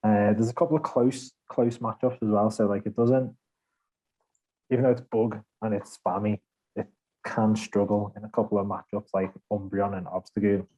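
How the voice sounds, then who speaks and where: Mellow man, rambling gamer chat. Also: on the low side, little pitch movement, moderate pace, rough recording. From Liverpool, England. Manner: rambling, laughs a lot, self-deprecating. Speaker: podcast panelist. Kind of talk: casual gaming chat.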